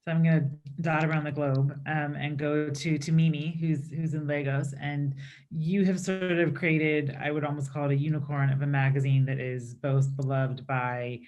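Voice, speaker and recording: A moderator with a very flat, level delivery and a middle-pitched voice, guiding a panel over a video call. The pacing is measured and steady.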